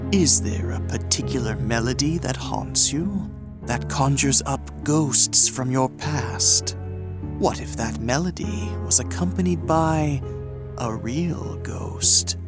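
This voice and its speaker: Slow-speaking male host over music. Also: mid-range voice, expressive. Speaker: podcast host. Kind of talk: scripted narration.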